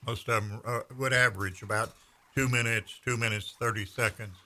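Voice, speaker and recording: A man, telling stories in casual conversation with measured pauses. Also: fairly low pitch, fairly even intonation, sharp tone. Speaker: veteran radio broadcaster. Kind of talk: casual storytelling chat.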